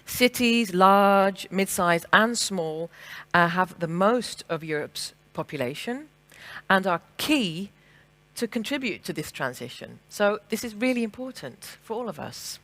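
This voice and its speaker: Brisk English-accented woman moderating a panel. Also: mid-range voice, lively intonation, fairly bright tone. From England and the Netherlands. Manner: frank and brisk, many fillers and restarts. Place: conference stage.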